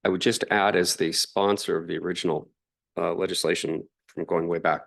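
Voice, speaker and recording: A man with a low, deep voice chairs a city council meeting. He moves through motions and votes in a measured, slightly halting way. His voice is going, so he keeps clearing his throat. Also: steady tone, a bit bright. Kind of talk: formal meeting procedure.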